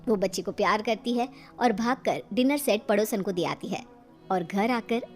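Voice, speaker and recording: A woman's voice: medium pitch, normal speed, darkish tone, faint music behind. A woman narrating a scripted family story in a dramatic storytelling style.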